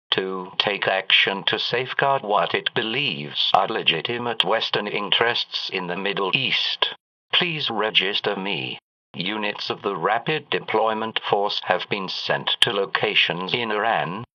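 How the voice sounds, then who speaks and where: A man's voice: lowish voice, no room sound. A synthetic man's voice playing a serious television news reporter reading a formal, stilted bulletin. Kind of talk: scripted breaking news report. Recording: dull lo-fi distant broadcast.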